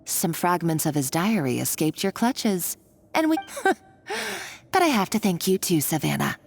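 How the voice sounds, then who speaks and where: A woman's voice: lowish voice, sing-song, a little slow, gravelly, a little hiss or hum, heavily acted. An actress voicing an unhinged, scheming woman villain in a video game, with a theatrical, gloating delivery. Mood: gloating triumph.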